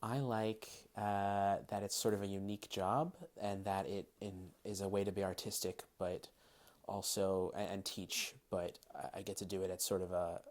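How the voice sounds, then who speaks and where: A man in his thirties from Massachusetts with a mostly general American accent and slight British traces, reading word lists carefully and then answering interview questions in a relaxed, hedging way. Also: deep voice, normal speed. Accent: Massachusetts, largely general American with slight British traces. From Massachusetts, USA. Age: 30s. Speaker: dialect coach, interviewee.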